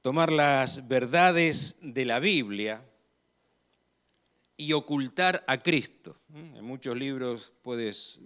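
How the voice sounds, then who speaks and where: A man with an Argentine accent preaching a sermon in a measured, emphatic way, growing louder on key phrases. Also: mid-range voice, lively intonation, clean tone.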